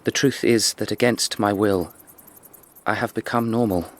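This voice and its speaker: A man's voice: fairly low pitch, measured pace. An actor playing a troubled, frank young upper-class Englishman in a radio drama, with an English accent. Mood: grave, confessional.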